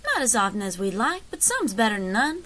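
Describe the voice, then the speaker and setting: A woman playing a confident, folksy frontier leader in an audio drama, wry and commanding, with calm menace. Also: on the high side, brisk.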